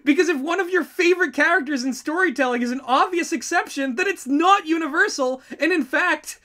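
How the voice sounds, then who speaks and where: Male co-host, high-pitched and bright, opinionated, for casual conversational critique. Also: fairly quick. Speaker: podcast co-host and audio drama writer.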